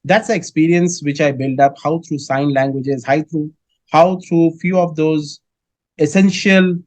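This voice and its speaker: A middle-aged man from Kolkata with an Indian English accent, answering interview questions in a measured way with many filler sounds. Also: on the high side, steady tone, darkish tone, no echo. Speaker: guest, dairy business head.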